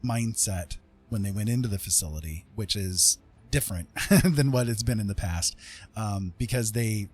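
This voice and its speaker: A man's voice: on the low side, normal speed, a little mellow, slightly rough. A man chatting casually with livestream viewers in a rambling, conversational way. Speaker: livestream host. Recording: faint music behind the voice. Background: music.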